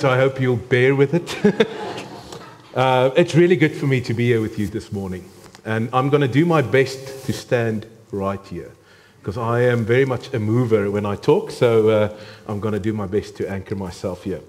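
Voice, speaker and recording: South African man preaching a sermon. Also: medium pitch. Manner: warm, conversational preaching with filler ums. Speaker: guest preacher. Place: church.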